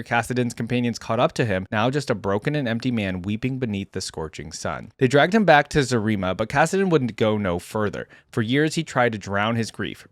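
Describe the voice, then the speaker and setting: A man speaks at a middling pitch with very little room sound around him. He sounds like a gaming video host, moving between reading aloud and informal commentary as he thinks out loud. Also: dry recording, studio quality. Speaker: reaction video host and gamer. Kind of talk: casual reaction commentary mixed with reading aloud.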